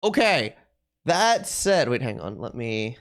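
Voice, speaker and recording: A video game streamer chatting casually with viewers on a live stream, rambling and thinking out loud. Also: mid-range voice, sing-song, slow pace.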